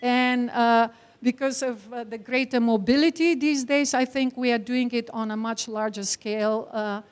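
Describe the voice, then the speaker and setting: A woman academic from Bulgaria lectures in a fairly high voice with a Bulgarian accent. She speaks as a non-native speaker of English, addressing her listeners directly and stressing her points. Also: steady tone, moderate pace, smooth. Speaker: academic speaker, teacher trainer. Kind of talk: conference lecture to an audience.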